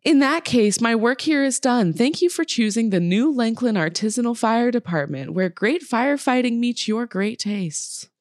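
A woman's voice: a little high, expressive, moderate pace, hardly any pauses, dry recording, clean studio sound, natural voice. A woman voicing a prim, pompous and overly formal fire department dispatcher in a comedy sketch read. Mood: pompous, courteous.

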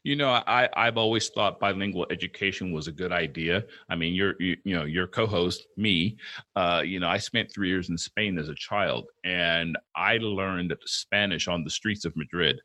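A man in his fifties co-hosting a relaxed chat, his voice low and bright-edged. He rambles through stories, doubling back on his words. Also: moderate pace. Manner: rambling, storytelling, many restarts. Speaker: podcast co-host. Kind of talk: casual interview chat.

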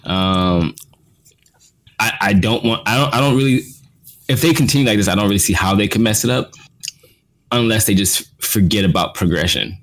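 A man's voice: on the low side, moderate pace, long pauses. A man co-hosting a casual podcast, speaking hesitantly with many ums and restarts. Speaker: podcast co-host.

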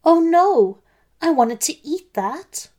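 A woman's voice: on the high side, very animated, unhurried, darkish tone. A woman voicing a hapless, hungry male wolf in a children's storybook, plaintive and melodramatic. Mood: dismayed.

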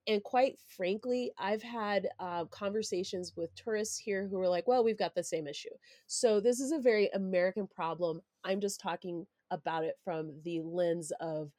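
A woman's voice: mid-range voice, moderate pace, few pauses, dark tone, no echo. Congested woman from colorado, candid podcast talk, lots of ums, dry close mic. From Denver, Colorado. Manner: candid and reflective, frequent ums and restarts. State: stuffy, congested. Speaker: podcast host. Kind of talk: solo podcast monologue.

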